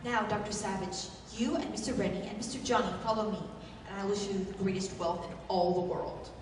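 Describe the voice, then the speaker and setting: A woman's voice: medium pitch, steady tone, fairly quick, a little mellow, a little hiss or hum, lightly acted. A woman playing a dignified young princess in a 1930s radio adventure, speaking solemnly and formally as a guide. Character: dignified, devoted young Mayan princess guiding the heroes. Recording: echoey hall, distant microphone.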